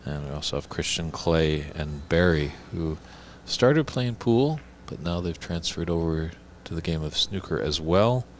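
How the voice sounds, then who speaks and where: A man's voice: low-pitched, expressive, unhurried, hardly any pauses, slight background noise. A man commentating a snooker tournament in a chatty, informative way.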